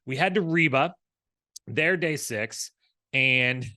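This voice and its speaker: A man with a mid-pitched voice co-hosts a casual recap show. He talks in a rambling, conversational way and slips into imagined quotes to make his points. The sound is dry, with very little room in it. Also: expressive, slow, sharp tone, no echo. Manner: rambling, acts out imagined dialogue. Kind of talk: casual, conversational episode commentary.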